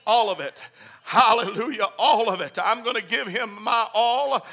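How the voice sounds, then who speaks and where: A man preaching a Pentecostal sermon, swinging from hushed, quick asides to shouted hallelujahs. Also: sits high, vocal fry. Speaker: pastor. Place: church.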